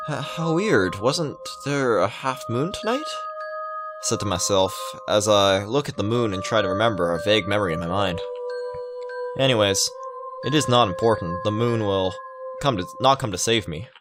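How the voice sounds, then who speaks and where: A man with a fairly low voice hosts a game playthrough. He reads the story aloud and breaks into casual, rambling, halting commentary, with music clearly playing underneath. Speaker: gaming video host. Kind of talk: visual novel read-aloud with casual commentary.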